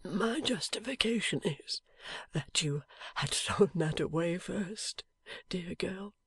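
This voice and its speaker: In an audiobook, a woman reads a gravely wounded young husband in a slow, breathy whisper, his voice weak and halting. He is tender and affectionate, the sound is dry and close, and it sits a little higher than the reader's own voice. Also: expressive, no room sound, exaggerated voice. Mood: faint, tender. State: weak from injury. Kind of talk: audiobook character voice.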